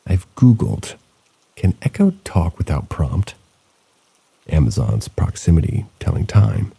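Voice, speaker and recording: A man narrates eerie first-person stories in a low, gravelly voice. His tone is very dark and husky, and he keeps a measured, low-key storytelling pace. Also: sing-song. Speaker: host and narrator. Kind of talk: reading listener stories aloud.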